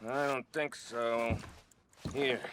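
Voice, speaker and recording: A griping, cocky American gunslinger voiced by a man for a video game. He talks slowly, in a mid-pitched sardonic drawl. There is clear noise behind him. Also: level tone, lightly acted. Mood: impatient. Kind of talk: dramatic video game acting. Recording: noisy game ambience with clicks.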